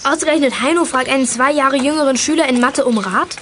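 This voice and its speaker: A woman's voice: sits high, a little fast, noisy background, lightly acted. A woman playing a self-assured, earnest young teenage detective in a children's audio drama. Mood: probing. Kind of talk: children's radio play acting.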